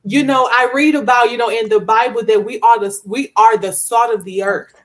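A woman's voice: a little high, fairly even intonation, normal speed. A young woman speaking as a guest author in a conversational, inspirational style, earnest and preacherly, repeating phrases for emphasis. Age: young adult.

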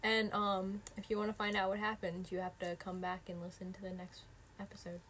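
A woman co-hosting a casual podcast, teasing her friend and tossing out quick jokey comebacks. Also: medium pitch, flat delivery, fairly bright tone, a little hiss or hum. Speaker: podcast co-host. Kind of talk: casual chat.

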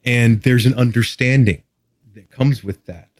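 A man's voice: medium pitch, measured pace, dry recording. A man from Texas chatting casually as a podcast guest, with a candid, wry, casually profane way of talking. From Texas, United States. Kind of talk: casual interview chat.

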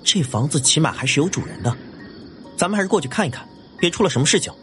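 Suspicious night-patrol policeman: officious, fast, animated, radio drama with music bed. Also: a little high. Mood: wary. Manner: brisk, officious, questioning. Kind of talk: audio drama acting.

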